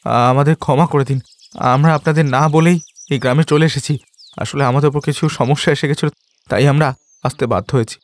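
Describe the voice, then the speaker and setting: A man's voice: medium pitch, fairly even intonation, fairly quick, dry recording, close to an everyday voice. A man voicing a humble, caring and distressed poor father in a cartoon moral story. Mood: pleading. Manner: plaintive, earnest, repeats phrases.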